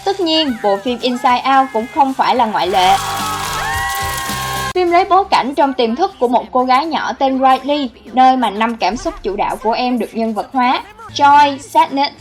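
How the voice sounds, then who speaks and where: A woman's voice: high-pitched, animated, normal speed. A woman reading scripted narration as the host of a countdown video. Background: background music.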